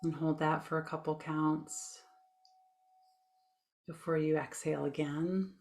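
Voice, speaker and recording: A woman leading a guided meditation with gentle, informal instructions in a soothing, unhurried manner. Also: low voice, flat delivery.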